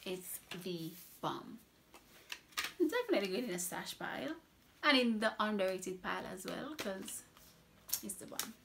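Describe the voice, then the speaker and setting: A woman's voice: medium pitch, vocal fry, noisy background. A young woman from Trinidad, a makeup artist reviewing products in a casual vlog, enthusiastic and repeating words for emphasis.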